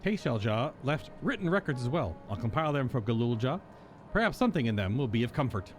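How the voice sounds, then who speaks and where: A man voicing a thoughtful, formal game character as he reads dialogue aloud, measured and earnest. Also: mid-range voice, very animated, natural voice. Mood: thoughtful, solemn. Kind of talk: reading quest dialogue aloud. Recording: game music behind.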